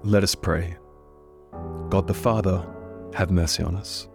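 A deep-voiced man, hoarse with a head cold, gravelly and low as he leads liturgical prayer in an earnest, unhurried way. Soft instrumental music sits faintly behind him. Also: fairly even intonation. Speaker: church minister hosting a prayer podcast. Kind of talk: leading liturgical prayer and spoken reflection.

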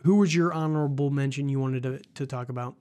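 A man's voice: medium pitch, fast talker, a little mellow. A man with a cold, sounding stuffed up, hosting a casual sports podcast in a rambling, chatty way and laughing often. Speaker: podcast host.

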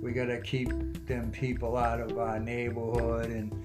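A man's voice: medium pitch, completely flat delivery. Older man over guitar music. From South Boston, USA. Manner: halting, reflective, measured phrasing. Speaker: interview guest, former union president. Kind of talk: casual reminiscing in an interview. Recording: music playing behind the voice.

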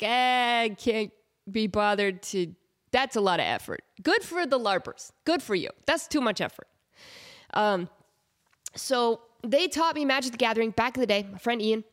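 This is a woman with a congested, nasal voice that sits a touch above the usual pitch. She is in her thirties and hosts a casual podcast. She tells stories with lots of energy, laughing and slipping into quoted voices as she goes. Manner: animated, laughs often, acts out quotes. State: stuffed-up nose. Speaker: podcast host. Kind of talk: casual chat.

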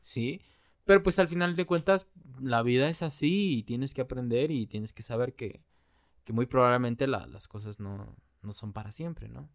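A young man from Mexico with a Mexican Spanish accent, talking in a casual chat, slangy and quick to laugh, then slow and thoughtful. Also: medium pitch, no echo, studio quality. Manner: slangy, laughs often, turns reflective and slow when talking about his breakup.

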